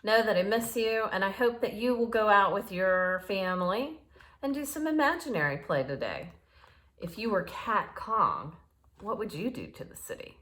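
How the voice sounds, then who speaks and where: A woman reading a picture book aloud to children in a playful, expressive way, with asides to her young listeners. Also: mid-range voice, moderate pace.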